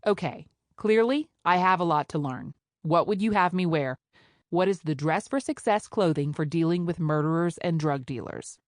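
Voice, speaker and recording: A woman's voice: on the low side, lively intonation, normal speed, no room sound, clean studio sound, lightly acted. A woman voicing a polite, prim young woman doctor with a Charleston accent who speaks formally. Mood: nervous.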